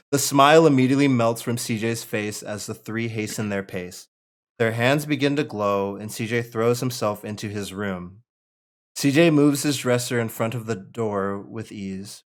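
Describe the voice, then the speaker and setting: A man narrating stage directions at a table read in an informal, conversational way. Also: mid-range voice. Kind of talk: reading screenplay stage directions aloud, with casual asides.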